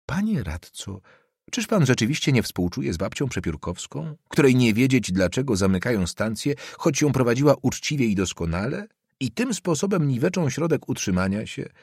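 A man's voice: mid-range voice, very animated, moderate pace, darkish tone, husky, dry recording, studio quality, voice pushed up. A man voicing a fiery young male student who argues earnestly. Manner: earnest, heated.

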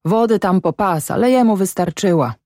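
A grim peasant father read by a woman, stunned and gruff in a literary story. The lines come out terse and muttered in rustic dialect, close and dry. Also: medium pitch, expressive, normal speed, mellow, no echo, voice pushed up, natural voice. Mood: numb, grim.

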